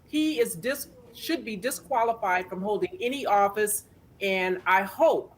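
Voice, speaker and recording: A woman's voice: medium pitch, slight background noise. A retired police sergeant, a woman, speaking bluntly and indignantly as a guest in a news interview.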